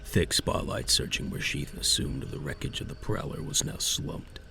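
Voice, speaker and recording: A man narrating a scripted science fiction audio drama in a measured storytelling manner. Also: extremely low pitch, huge pitch swings, gravelly, loud music behind the voice.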